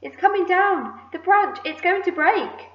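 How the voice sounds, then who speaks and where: A woman as a high, gushing, excitable storybook aunt. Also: moderate pace, lightly acted. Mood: alarmed. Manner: gushing, shouted exclamations. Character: fat, excitable, greedy aunt, gushing and shrieking. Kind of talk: children's storybook read-aloud. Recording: echoey room, slightly dull sound.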